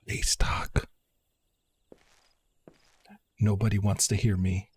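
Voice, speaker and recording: A man doing casual live-stream game commentary, muttering to himself and sometimes reading dialogue aloud haltingly. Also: fairly low pitch, level tone, a little mellow, breathy edge.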